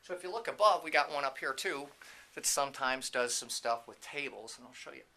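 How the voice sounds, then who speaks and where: A man's voice: mid-range voice, animated, fairly quick, bright, forceful tone. A man casually narrating a walking tour indoors in an informal, off-the-cuff, chatty way. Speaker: CEO and presenter of a training company, giving a tour. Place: indoors, walking through a video production facility. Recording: light background noise, room ambience.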